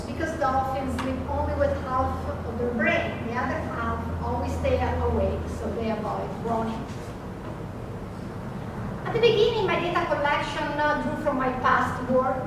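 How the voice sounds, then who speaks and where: A high-voiced woman with a strong Italian accent tells personal stories about her research work. She pauses often on hesitant fillers, and her voice comes from far off, echoing through a large hall over steady background noise. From Italy. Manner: hesitant, frequent "uh" fillers, lively storytelling. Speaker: marine biologist giving a talk. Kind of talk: personal storytelling talk. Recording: echoey hall, distant mic, background noise.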